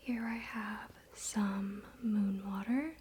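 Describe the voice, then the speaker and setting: A woman whispering softly in a breathy voice, speaking as an ASMR host giving calm spiritual guidance. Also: medium pitch, slight background noise. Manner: soft, slow, unhurried.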